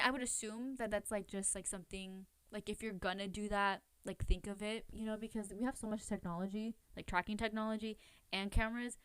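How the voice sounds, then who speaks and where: A woman's voice: medium pitch, a bit bright, clean tone, dry recording. A woman hosting a casual, chatty true crime podcast, talking informally in a rambling, self-questioning way with lots of filler words. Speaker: podcast host.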